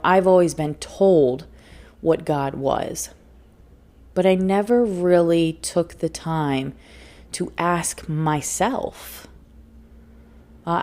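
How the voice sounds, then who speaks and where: Earnest woman podcaster, slightly low voice. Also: dark tone. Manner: earnest, emphatic, laughs often; repeats phrases for emphasis. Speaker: podcast host and life coach. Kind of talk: solo motivational podcast talk.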